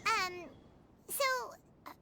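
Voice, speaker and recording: A woman voicing a small, childlike fairy companion in a video game, perky, expressive and easily huffy. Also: takes it slowly. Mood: perplexed, bossy. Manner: speaks of self in third person, expressive. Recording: music behind the voice.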